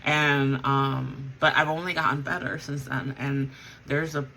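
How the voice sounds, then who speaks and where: Woman vlogger, casual rambling chat, bright voice with gravelly fry. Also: very deep voice, little pitch movement, a little slow, a little hiss or hum. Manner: rambling, with frequent fillers and self-corrections. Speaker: vlogger and band singer. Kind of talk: casual chat while getting ready.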